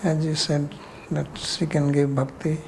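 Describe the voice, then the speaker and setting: A man's voice: on the high side, flat delivery, measured pace, creaky, darkish tone, slight background noise, poor audio. Indian man teaching, blunt and questioning. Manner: blunt, challenging, uses rhetorical questions. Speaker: spiritual teacher leading scripture class. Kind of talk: informal class discussion, answering questions.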